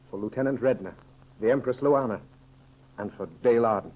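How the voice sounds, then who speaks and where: An actor playing a grave, loyal counselor with solemn, formal, declamatory delivery in a 1930s radio serial. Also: male voice, medium pitch, fairly quick, natural voice. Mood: grave, insistent. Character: grave loyal counselor, stern yet devoted male friend. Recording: muffled old 1930s radio recording.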